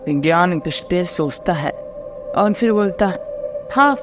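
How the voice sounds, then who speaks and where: High-pitched male storyteller. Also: measured pace, music in the background. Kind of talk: scripted cartoon story narration.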